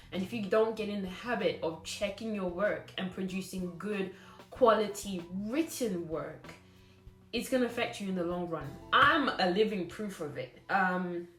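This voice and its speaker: A woman lectures trainee teachers in a blunt, scolding way, hammering points home by repeating words. Her voice sits at an ordinary middle pitch. She speaks in an echoey room, with faint music playing behind her. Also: a bit bright. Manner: blunt, scolding, emphatic repetition.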